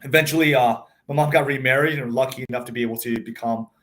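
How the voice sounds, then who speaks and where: A man's voice: mid-range voice, fairly even intonation, fast talker, a bit bright. A man in his thirties, born in Taiwan and raised in South Africa, telling his story as a startup founder in a casual interview, chatty with frequent fillers. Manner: conversational, many fillers and restarts. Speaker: tech startup CEO, guest.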